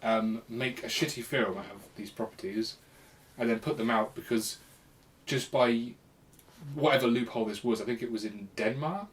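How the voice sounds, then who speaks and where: A man's voice: medium pitch, moderate pace, poor audio. A man co-hosting a podcast in casual chat, blunt and sweary in the way he talks. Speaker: podcast co-host.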